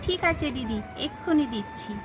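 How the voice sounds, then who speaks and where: Obliging stall vendor doll played by a woman, high voice, matter-of-fact, toy story with music. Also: lively intonation, normal speed. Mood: businesslike. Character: obliging village fair vendor dolls quoting prices. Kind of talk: children's doll-play story.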